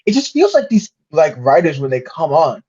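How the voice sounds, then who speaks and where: High, husky, animated man on lo-fi mic. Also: normal speed. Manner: emphatic, slangy, swears freely. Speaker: podcast panelist discussing films. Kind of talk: casual chat.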